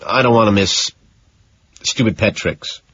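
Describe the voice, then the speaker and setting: A man's voice: on the low side, measured pace, fairly bright tone, husky, dull audio. A man reading an audiobook as a vain, contemptuous young wealthy businessman, curt and condescending. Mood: evasive. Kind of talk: dramatised audiobook narration.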